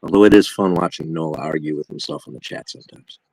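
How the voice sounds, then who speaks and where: Low gravelly man, nasal and laughing. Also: fast, a little mellow, no echo, poor audio. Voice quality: nasal, whiny. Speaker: livestream co-host. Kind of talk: casual chat.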